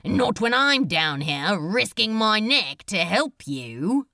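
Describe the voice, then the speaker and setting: A woman voicing an irritable, cynical male demon in an audiobook story, blunt and exasperated with a tense, creaky voice. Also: mid-range voice, big pitch swings, slow pace, sharp tone, dry recording, clean studio sound, exaggerated voice.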